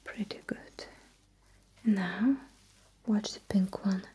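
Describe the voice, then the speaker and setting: A woman whispering in an ASMR roleplay as a technician, giving gentle, slow instructions in short phrases with a breathy, mostly unvoiced voice. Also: mid-range voice, steady tone, rough recording. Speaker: ASMR performer role-playing a sci-fi technician running calibration tests.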